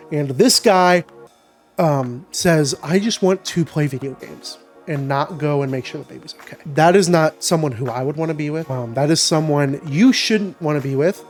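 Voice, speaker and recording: A man's voice: fairly high pitch, darkish tone. A young man hosting a casual advice vlog, slightly nasal and congested from a sinus infection, talking to the viewer in an opinionated, emphatic way. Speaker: advice show host.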